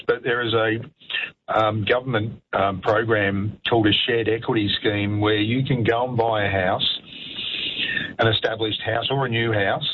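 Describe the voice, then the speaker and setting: Halting older man on the phone. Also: on the low side, phone-quality sound, a little hiss or hum. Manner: halting, many ums and restarts. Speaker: caller. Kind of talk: casual chat on talk radio.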